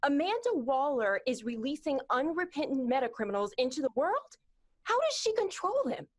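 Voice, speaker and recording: A woman plays an outspoken young superheroine in a scripted audio play. Her voice is fairly high and animated, with an incredulous edge. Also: moderate pace. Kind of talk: amateur audio drama acting.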